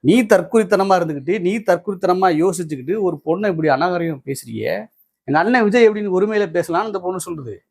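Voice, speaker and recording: A man's voice: high voice, lively intonation, fast talker, vocal fry. A man with a Tamil Nadu accent giving opinionated political commentary, indignant and combative, repeating phrases for emphasis.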